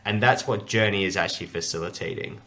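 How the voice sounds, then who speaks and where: A man's voice: on the low side, fast, sharp tone. Upbeat, chatty podcast host man. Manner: upbeat, chatty, with many fillers. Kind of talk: casual interview chat.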